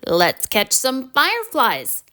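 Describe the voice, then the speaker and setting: Kindly storybook grandma, elderly, unhurried, knowing, lilting; acted by a woman. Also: high voice, wildly swooping pitch, bright, forceful tone, pitched above the performer's own voice, full character voice.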